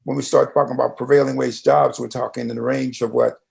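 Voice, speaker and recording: Very gravelly older man asking interview questions. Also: mid-range voice, level tone, fast, darkish tone.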